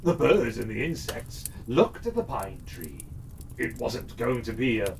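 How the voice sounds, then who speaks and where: A storyteller narrating a children's fairytale expressively, with dramatic, deliberate phrasing. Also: mid-range voice, very animated, gravelly, darkish tone. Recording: slightly distant mic, faint noise.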